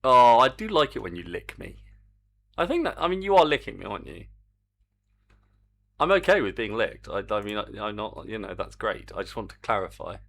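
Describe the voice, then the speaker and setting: A man's voice: lowish voice, sing-song, a little fast, gravelly, fairly bright tone. A man streaming his game development work, chatting to viewers in a rambling, self-mocking way. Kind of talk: casual live-stream commentary while coding.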